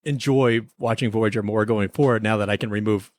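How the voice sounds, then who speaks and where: A man's voice: lowish voice, fairly even intonation, fairly quick, no echo, studio quality. A man hosting a podcast, chatting casually about TV episodes with a wry, mock-earnest manner and some playful skit delivery. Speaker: podcast host. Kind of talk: casual episode review chat mixed with playful time-travel skit.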